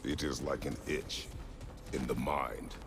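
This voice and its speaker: Stoic game warrior god, rock-bottom pitch, slow solemn delivery, weary gruffness. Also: male voice, steady tone, very noisy, heavily acted. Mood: wary. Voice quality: very deep gravelly voice. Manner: terse, solemn, measured. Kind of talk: video game drama acting.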